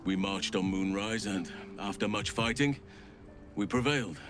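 An actor playing a solemn, gentle middle-aged elven archdruid in a fantasy game, speaking in a measured, earnest, formal way. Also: male voice, on the low side, over music, natural voice. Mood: solemn, regretful. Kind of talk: scripted fantasy game dialogue.

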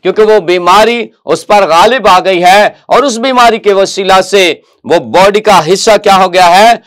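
A man's voice: high-pitched, moderate pace, a bit bright. A middle-aged man with a Pakistani Urdu accent preaching a sermon in a TV studio, earnest, often asking his listeners rhetorical questions. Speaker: pastor. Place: TV news studio, indoors. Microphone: lapel mic.

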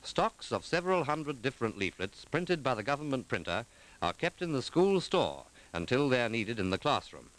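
A man reading scripted narration for a 1940s documentary film in a measured, formal, articulate manner. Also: medium pitch, very animated, a bit bright, poor audio. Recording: 1940s film soundtrack with music.

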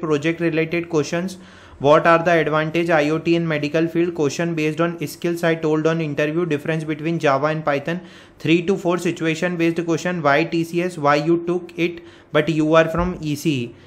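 An Indian-accented man with a fairly high-pitched voice presents an informal update for job candidates. He explains step by step, often restating a point and checking in as he goes. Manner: repetitive, step-by-step explaining with frequent check words. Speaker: career coaching presenter. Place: voice-over on a screen recording.

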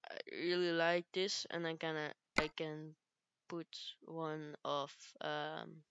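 Casting note: teen girl, low and unhurried, halting English, smooth dry close-mic sound. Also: flat delivery, a bit bright, no room sound, muffled recording. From Belgium. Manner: halting, searches for English words. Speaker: gaming youtuber. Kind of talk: casual gameplay commentary.

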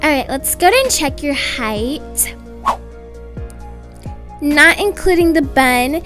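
Very high-pitched, lively young woman. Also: slow, over music. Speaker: video creator voicing characters. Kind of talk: acted children's roleplay story.

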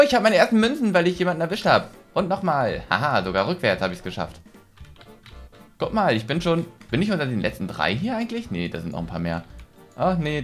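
Male gaming host, twenties, very lively pitch, casual and expressive, close mic, faint music underneath. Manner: expressive, self-deprecating, thinking aloud. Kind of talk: let's play commentary, casual chat. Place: small room at home, indoors. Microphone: desk studio microphone, close.

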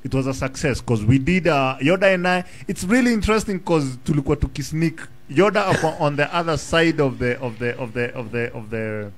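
A man in his thirties with a Kenyan accent, talking as a guest rapper in a casual radio studio interview. He speaks in an animated way, often restarting his sentences, close to a studio mic on a boom arm in a small room. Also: a little fast, hardly any pauses. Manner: animated, mixes English and Swahili slang, many restarts.

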